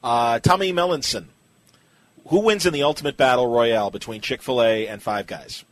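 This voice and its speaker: A man's voice: medium pitch, normal speed. A man hosting a casual podcast interview, putting questions to his guest and reading out those sent in by listeners.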